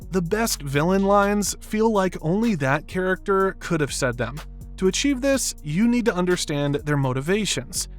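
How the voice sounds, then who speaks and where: Higher-pitched male video essay narrator. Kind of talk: scripted narration, film analysis. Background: background music.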